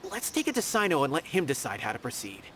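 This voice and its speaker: Junior game investigator: earnest, anxious, mid-range, very animated delivery. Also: male voice, medium pitch, quick pace, a little hiss or hum. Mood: grave, troubled. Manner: earnest, expressive. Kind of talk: video game voice acting. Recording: background music.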